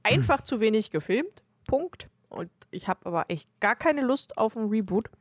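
A woman's voice: fairly high pitch, big pitch swings, moderate pace, no echo, clean studio sound. A woman co-hosting a podcast, chatting casually in a blunt, emphatic way. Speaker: podcast co-host. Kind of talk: casual chat.

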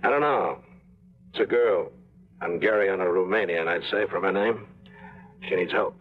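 A man's voice: sits low, moderate pace, lightly acted. An actor playing a hulking male crook who brokers shady deals, in a 1950s radio drama. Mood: scheming. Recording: lo-fi phone-like 1950s radio broadcast.

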